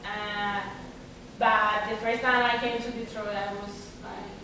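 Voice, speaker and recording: A woman from Argentina answering audience questions at a symposium, pausing often and searching for words. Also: medium pitch, little pitch movement, noisy background. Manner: halting, searching for words, many fillers. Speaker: artist at a symposium. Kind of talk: reflective Q&A answer. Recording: echoey lecture room from far off.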